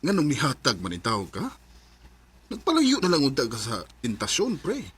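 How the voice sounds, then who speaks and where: A slightly husky male coworker talks with lively rises and falls, chummy and easygoing. He belongs to a radio drama, at an ordinary middle pitch. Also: moderate pace, full character voice. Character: friendly male coworker and pal.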